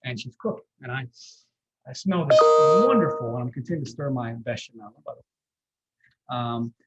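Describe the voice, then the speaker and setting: A man's voice: medium pitch, lively intonation, moderate pace, muffled recording. A man giving a live cooking demonstration as guest chef, explaining step by step in a folksy, reassuring, conversational way.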